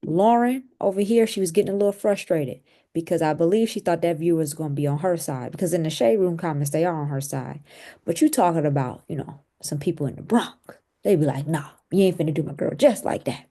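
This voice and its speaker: Low, mellow-voiced woman host. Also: a little fast. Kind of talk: commentary on celebrity drama.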